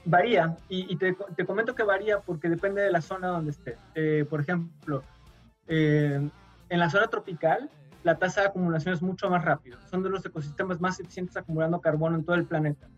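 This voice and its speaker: Male guest, from Mexico, patient explainer, slightly high voice, dull lo-fi sound with faint music. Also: steady tone, a little fast. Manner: patient explainer with frequent fillers. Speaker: guest scientist. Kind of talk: radio interview, explaining.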